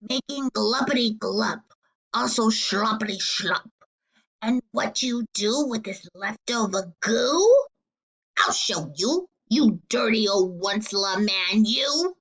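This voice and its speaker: A woman's voice: on the high side, lively intonation, slow, fairly bright tone, slightly rough, full character voice, low-quality recording. Lady doing a grumpy little tree guardian from a kids book, snappy and scolding, takes its time. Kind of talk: children's storybook read-aloud.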